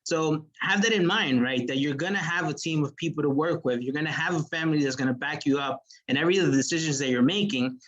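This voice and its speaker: Sales manager pitching over a video call, higher male voice, muffled audio. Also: fast talker, few pauses, fairly bright tone. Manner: earnest motivational pitch, many fillers. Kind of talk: online business presentation.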